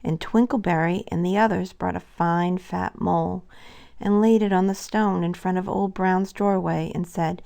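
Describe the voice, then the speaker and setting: A woman's voice: fairly low pitch, steady tone, moderate pace, vocal fry, dark tone. A woman narrating a scripted children's story as a storybook reader.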